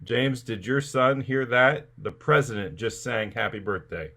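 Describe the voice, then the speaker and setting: A man's voice: medium pitch, measured pace, creaky, sharp tone. A man hosting a casual comic interview, playing along and relaying questions from viewers in the chat. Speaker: live-stream show host.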